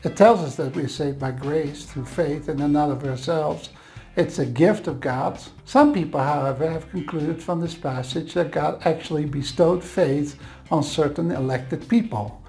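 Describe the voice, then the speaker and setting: A man's voice: on the high side, normal speed, gravelly, dark tone, soft background music. Dutch-accented man teaching Bible grammar. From Netherlands. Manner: earnest, explanatory, reassuring asides.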